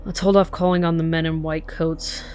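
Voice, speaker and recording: Female police detective, played by an actress, low register, blunt, profane, sardonic, short-tempered, for a crime audio drama. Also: level tone, moderate pace, darkish tone, soft background music, close to an everyday voice. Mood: dry sardonic. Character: Kate, foul-mouthed, furious, sardonic female police detective.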